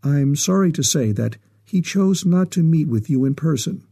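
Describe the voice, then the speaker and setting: Older official, read by a male narrator: measured, formal, very dark husky tone, story reading. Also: on the high side, expressive, pitched above the performer's own voice, full character voice. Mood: restrained.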